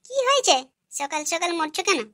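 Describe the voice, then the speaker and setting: A woman voicing a sharp-tongued, nagging village wife and mother in a comic cartoon. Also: very high-pitched, sing-song, a little fast, no echo, studio quality, heavily acted. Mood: snappy, defensive. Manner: snappy, nagging.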